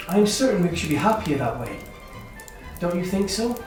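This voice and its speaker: A man's voice: sits high, sing-song, normal speed, darkish tone. Man acting a kindly Victorian brother, very echoey. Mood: earnest, hopeful. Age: 30s. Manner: formal, wordy, earnest phrasing. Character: kindly, teasing, hopeful brother in his thirties, Victorian gentleman. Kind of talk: stage-style literary radio drama acting. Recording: very echoey, distant, music behind.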